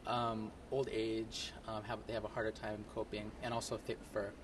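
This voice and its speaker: A man's voice: on the low side, completely flat delivery, noisy background. A man in his thirties, a product inventor, answering interview questions in an eager, um-filled way into a reporter's handheld mic in an expo hall. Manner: quick, eager explaining, many ums. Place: large indoor expo hall.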